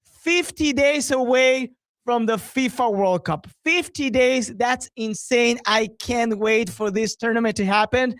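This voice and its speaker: A man's voice: very high voice, unhurried, fairly bright tone, dry recording, clean studio sound. A man chatting casually as a guest on a sports radio show. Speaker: guest, soccer broadcasting colleague.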